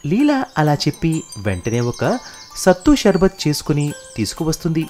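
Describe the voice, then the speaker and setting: An animated storyteller narrates moral tales for children in a scripted storybook style. The voice moves up and down in pitch, with faint music underneath. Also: moderate pace.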